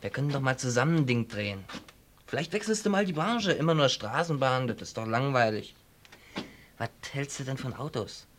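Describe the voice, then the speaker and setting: A man acts out a cheeky jailbird in a radio drama. He needles and cajoles like an old chum, in an easy colloquial manner at a middling pitch, over faint noise. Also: lively intonation, a little fast, natural voice. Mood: teasing, chummy. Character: cocky, teasing habitual small-time thief in jail. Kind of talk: German radio play acting.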